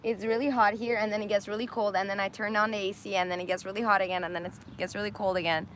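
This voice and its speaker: A woman's voice: mid-range voice, little pitch movement, very fast, a bit bright, a little hiss or hum. A woman hosting a casual live stream, laughing often and losing her voice. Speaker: host of an Instagram live. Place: indoors in Armenia.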